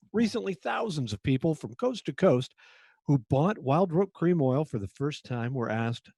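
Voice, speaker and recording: A man's voice: a little high, darkish tone, dry recording. A man playing an earnest 1940s radio sponsor announcer, with a polished, emphatic sales delivery.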